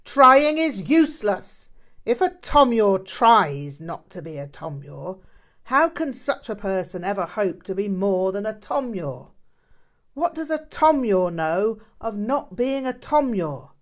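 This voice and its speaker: A woman's voice: mid-range voice, sing-song, slow, a bit bright, pitched above the performer's own voice. A woman voicing a stern, probing old male Buddhist master in an audiobook, with blunt, emphatic short sentences. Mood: sternly scolding. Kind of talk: audiobook character dialogue.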